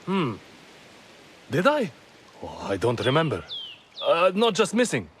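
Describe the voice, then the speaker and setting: A sozzled forgetful slave owner in a game cutscene, rambly, voice all over the place, noisy background. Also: male voice, a little high, huge pitch swings, a little slow, fairly bright tone, full character voice. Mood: drunk, evasive. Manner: rambling, evasive, theatrical exclamations. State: drunk, woozy. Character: drunk, forgetful, boastful-whining male slave owner in historical Iraq. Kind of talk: video game cutscene acting.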